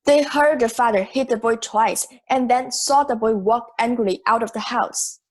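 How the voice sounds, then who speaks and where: A woman's voice: a little high, normal speed, close to an everyday voice. A woman playing a logical, assertive, matter-of-fact juror in an amateur audio drama.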